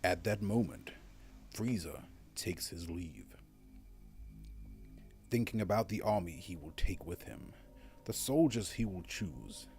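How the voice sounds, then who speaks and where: A man's voice: deep voice, sing-song, slow, gravelly, pauses often, darkish tone, soft background music. A man reading scripted story narration in a dramatic style. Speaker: narrator of a fan-made motion comic.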